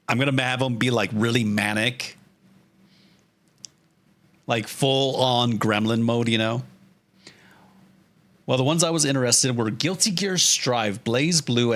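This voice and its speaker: A man streams casually in a bright, forward voice at a medium pitch. He talks off the cuff like an artist chatting while he draws, joking at his own expense and swearing freely. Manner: self-deprecating, joking, casually profane. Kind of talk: casual livestream chat while drawing.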